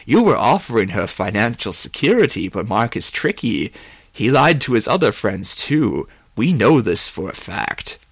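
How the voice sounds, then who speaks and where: A man voicing a calm, persuasive manipulator with a measured, insinuating delivery in an audiobook. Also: lowish voice, no echo. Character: calm, persuasive manipulator coaxing toward revenge. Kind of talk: audiobook character voice.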